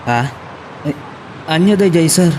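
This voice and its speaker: A man's voice: on the high side, expressive, unhurried. A man playing a timid, evasive employee who sounds baffled and hesitant in an Ilocano audio drama, with an Ilocano accent. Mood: flustered, nervous. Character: flustered, evasive, timid male employee under pressure. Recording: clear background hiss and noise.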